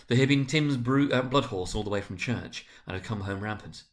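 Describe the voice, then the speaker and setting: A man narrating a classic story as a dramatic reading, in an expressive, theatrical manner. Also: medium pitch, brisk.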